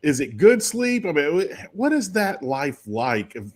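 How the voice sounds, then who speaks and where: A fifty-year-old male co-host asks questions in a jokey, curious way. His pitch moves a lot as he talks, so his delivery sounds animated. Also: a little slow. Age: 50s. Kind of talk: casual interview chat.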